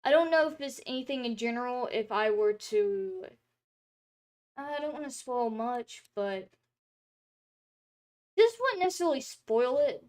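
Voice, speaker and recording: A young woman with a fairly high voice chats loosely on a stream, circling back with fillers and restarts as she talks. Her throat sounds a bit dry and hoarse. She is talking from a room at home. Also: sing-song, measured pace, long pauses, a little mellow. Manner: rambling, chatty, lots of filler words and restarts. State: throat drying, slightly hoarse. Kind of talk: casual stream chat.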